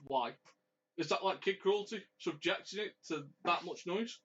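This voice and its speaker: Guy with a bright punchy voice, asks lots of questions, giggles, muffled audio. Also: high voice, moderate pace, husky. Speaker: podcast co-host. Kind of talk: casual wrestling chat. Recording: dull lo-fi audio.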